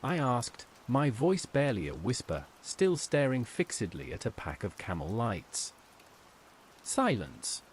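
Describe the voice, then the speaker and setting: A male narrator tells a first-person horror story with tense, suspenseful drama. His mid-pitched voice rises and falls widely from phrase to phrase. A light layer of rain noise runs behind him. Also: wildly swooping pitch, measured pace.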